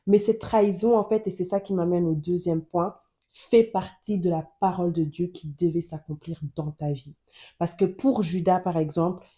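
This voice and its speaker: Earnest woman sharing faith, mellow low voice. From Germany. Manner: earnest, repeats phrases for emphasis. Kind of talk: personal testimony and Bible teaching.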